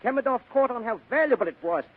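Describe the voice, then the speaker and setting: Excitable, high-voiced radio crook. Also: male voice, quick pace. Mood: furious. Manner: agitated, sharp-tongued. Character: excitable, fussy, foreign male crook. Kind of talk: 1940s radio acting. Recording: muffled vintage radio recording.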